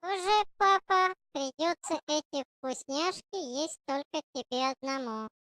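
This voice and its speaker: A woman voicing an excitable, squeaky little piglet child in a children's cartoon story. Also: measured pace, no room sound, clean studio sound. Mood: cheeky.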